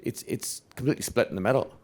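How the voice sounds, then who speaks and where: A man in the audience asking a question at a conference panel, speaking haltingly with many restarts and fillers. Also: mid-range voice, darkish tone. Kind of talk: spontaneous question from the floor.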